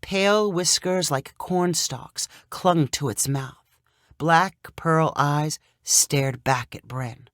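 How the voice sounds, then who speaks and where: A woman narrating a scripted short story in an expressive, clearly paced storyteller's manner. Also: low voice, takes it slowly, no room sound, clean studio sound.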